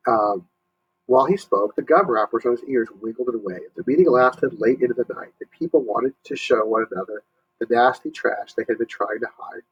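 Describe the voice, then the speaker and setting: A man reading a story aloud to young listeners, pausing to explain and ask questions. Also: medium pitch, husky, highs cut off. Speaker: storybook reader, teacher.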